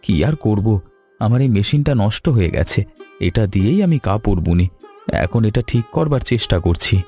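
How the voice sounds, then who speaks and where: Man acting as anxious, humble village weaver, deep-voiced. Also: lively intonation, moderate pace, mellow, faint music behind. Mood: downcast. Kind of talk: cartoon story voice acting.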